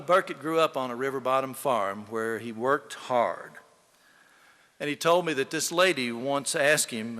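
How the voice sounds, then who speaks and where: A man's voice: medium pitch, sharp tone. Folksy man speaking in a reverberant hall. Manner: folksy, storytelling, emphatic, addressing the audience. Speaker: eulogy speaker, fellow lawyer. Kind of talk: eulogy speech with folksy anecdotes. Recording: echoey hall with long reverb.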